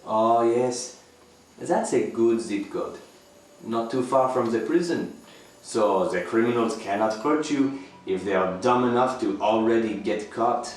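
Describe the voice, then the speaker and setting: A man's voice: mid-range voice, unhurried, lightly acted. An actor playing an eccentric, overfriendly, shady man in an audio drama, chatty and cheerfully ominous. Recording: very echoey, distant, faint music behind.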